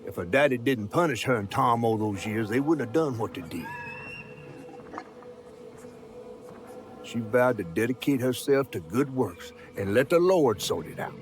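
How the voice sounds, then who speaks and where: A man's voice: medium pitch, animated, normal speed, few pauses, natural voice. Man playing a folksy Southern storyteller over music. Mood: solemn. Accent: Southern American. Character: male folksy Southern storyteller spinning a grim tale. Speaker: storytelling narrator. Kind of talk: folk-tale narration in a video game. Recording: music behind the voice.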